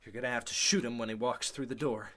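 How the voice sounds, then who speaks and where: A man's voice: mid-range voice, steady tone, fast, a bit bright, close to an everyday voice. An actor playing a scheming, injured man who puts on protective concern, urgent and persuasive, in an old-time radio play. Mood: tense, pressing. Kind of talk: Golden Age style radio play acting.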